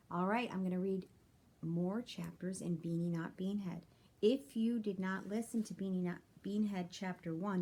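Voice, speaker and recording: Mellow-voiced woman reading aloud to children. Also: lowish voice, creaky, husky. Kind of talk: read-aloud of a children's chapter book.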